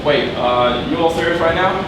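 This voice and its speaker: A man's voice: a little high, moderate pace, natural voice. A man playing a sly middle-aged neighbor with breezy, folksy, hesitant talk in a comedy sketch reading. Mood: sly improvising. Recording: echoey theater, distant mic, noisy.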